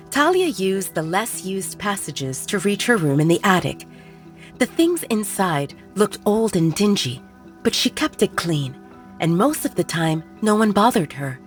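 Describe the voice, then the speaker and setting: A woman narrating a scripted fiction story in a measured, expressive storytelling manner. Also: mid-range voice. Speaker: audiobook narrator.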